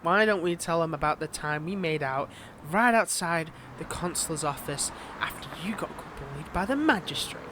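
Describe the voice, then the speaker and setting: A man's voice: a little high, lively intonation, bright, forceful tone. Guy acting as a smug flirty male villain ex, bitter n teasing, audio drama w lots of background noise. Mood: taunting, resentful. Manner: teasing, mocking, drawn-out delivery with pet names. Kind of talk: dramatic audio roleplay acting addressed to listener.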